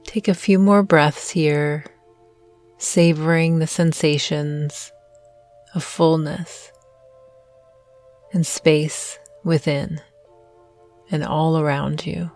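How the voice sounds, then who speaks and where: A woman guiding a meditation in a gentle, soothing, measured way with long pauses. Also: deep voice, fairly even intonation. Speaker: meditation guide. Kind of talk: guided meditation narration. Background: soft meditation music.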